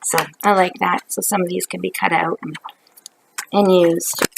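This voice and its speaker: A middle-aged woman from Canada, hoarse from a cold, talking into a webcam from her living room couch at night. Her voice is deeply gravelly and full of fry. She rambles in a chatty way, and a faint hiss sits under the recording. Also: flat delivery, moderate pace. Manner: rambling, chatty, many ums. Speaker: craft vlogger. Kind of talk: casual haul video. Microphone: webcam at close range.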